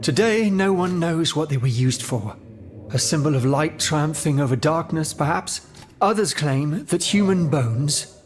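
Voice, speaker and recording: A higher-voiced, brooding gentleman scholar. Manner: grave, storytelling, ornate. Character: erudite, brooding French gentleman fond of the macabre. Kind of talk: scripted video game cutscene dialogue.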